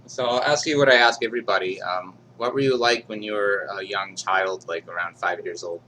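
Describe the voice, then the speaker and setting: Bright-voiced man asking casual questions. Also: lowish voice, brisk, rough recording. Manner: relaxed, conversational, frequent ums and likes. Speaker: interviewer running typing sessions. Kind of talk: casual interview. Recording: faint hum behind.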